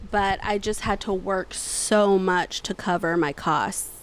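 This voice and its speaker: A woman co-hosting a casual podcast, chatty and emphatic, quick to laugh. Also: medium pitch. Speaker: podcast co-host. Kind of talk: casual chat. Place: indoors, seated smoking.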